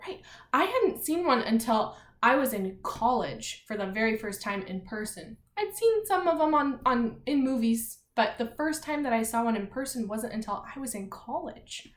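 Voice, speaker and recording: A woman's voice: a little high, very animated, normal speed. A woman teacher reading aloud to young children, explaining things simply and thinking aloud as she goes. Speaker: primary school teacher. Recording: echoey room.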